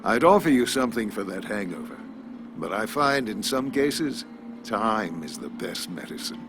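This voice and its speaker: A man playing a courteous, philosophical older small-town pharmacist in a video game, talking in a polite, measured, folksy way. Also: fairly low pitch, animated, over music, natural voice. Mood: advising. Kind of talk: video game character dialogue.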